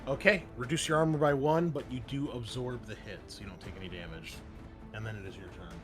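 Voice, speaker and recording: A man acts as game master for a tabletop roleplaying session over a bed of music. His voice sits at a medium pitch, and he moves between dramatic scene narration and casual rules talk. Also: fast talker. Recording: music behind the voice.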